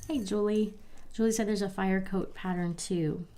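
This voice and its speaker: A woman from Baltimore hosting a crafting livestream, chatting softly and a little stuffy as she reads viewers' comments. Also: medium pitch, moderate pace. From Baltimore, Maryland. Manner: relaxed, chatty, reads viewer comments aloud with small laughs. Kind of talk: soft-spoken live crafting chat.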